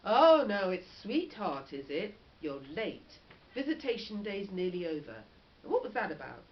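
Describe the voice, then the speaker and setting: A woman's voice: mid-range voice, big pitch swings, moderate pace, close to an everyday voice. Woman acting a sulky, indignant young girl, muffled recording. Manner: indignant and emphatic. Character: sulky, sarcastic, sharp-tongued young girl scolding her father. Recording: dull lo-fi audio with faint noise.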